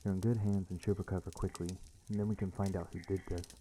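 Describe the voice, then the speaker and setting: A man playing a reclusive, protective vampire lord in an ASMR roleplay, speaking formally and courteously in measured words. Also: low-pitched, level tone, warm tone, a little hiss or hum, lightly acted, rough recording. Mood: grave, concerned. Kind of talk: ASMR roleplay acting, addressing the listener.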